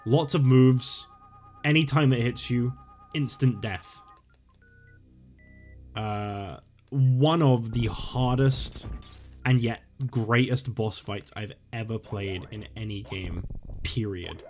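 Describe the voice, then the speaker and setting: A man rambling casually and swearing while playing a game, his voice going hoarse. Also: mid-range voice, slow, long pauses, a little mellow, soft background music. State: losing his voice. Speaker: gaming YouTuber. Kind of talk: casual let's-play commentary.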